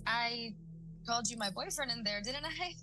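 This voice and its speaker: A woman's voice: on the high side, expressive, normal speed, music in the background, close to an everyday voice, low-quality recording. A woman playing an earnest, helpful young woman in an audio drama. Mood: unsure. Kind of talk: audio drama table read.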